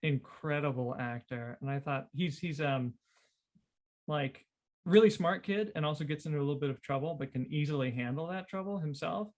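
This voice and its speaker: A man talking casually as part of a podcast panel, pitching ideas in everyday conversational language. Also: normal speed, a little mellow. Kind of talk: casual chat.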